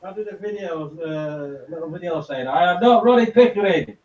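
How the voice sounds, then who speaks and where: A man with a high voice chats and makes teasing, jokey asides. He sounds distant and echoey, and the audio is muffled and lo-fi. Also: expressive, normal speed, a little mellow. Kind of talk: casual chat. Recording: distant, roomy, dull sound.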